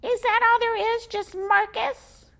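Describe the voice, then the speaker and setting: A woman voicing an angry little male squirrel with a squeaky voice. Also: level tone, unhurried, gravelly, heavily acted. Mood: indignant. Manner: indignant, shouting.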